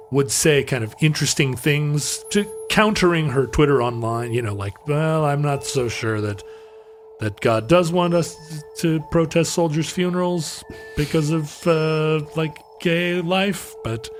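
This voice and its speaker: A man co-hosting a podcast, telling a casual anecdote in a rambling, self-correcting way. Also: a little high. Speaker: podcast co-host. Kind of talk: casual chat, storytelling.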